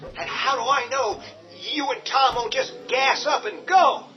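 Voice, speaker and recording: A man's voice: very high voice, measured pace. An actor playing a cowardly, stubborn and argumentative family man in a radio drama, speaking in a whiny, defensive way. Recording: muffled distant voice with loud music.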